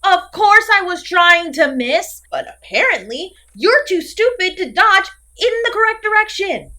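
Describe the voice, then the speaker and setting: Female slasher villain played by an actress, horror-comedy audio drama: high-pitched, very animated, sarcastic and scolding, big theatrical delivery. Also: measured pace, full character voice. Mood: exasperated ranting. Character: theatrical, exasperated, easily ranting masked slasher killer woman.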